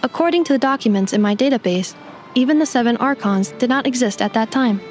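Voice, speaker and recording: A woman's voice: fairly high pitch, quick pace, natural voice. A woman voicing a polite female robot guide assistant who speaks in a precise, formal, factual way, in video game dialogue with music behind. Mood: calm.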